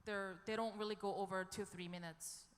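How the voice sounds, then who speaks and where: A woman's voice: medium pitch, fairly even intonation, fairly quick, clean tone. A woman, a musician, talking casually and unscripted on a panel. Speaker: experimental music artist on a panel. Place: hall at a music conference panel. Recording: echoey hall with long reverb.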